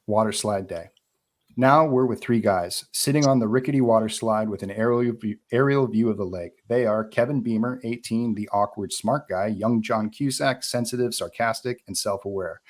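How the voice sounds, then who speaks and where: A man reading out screenplay stage directions as narrator at a live table read. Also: lowish voice.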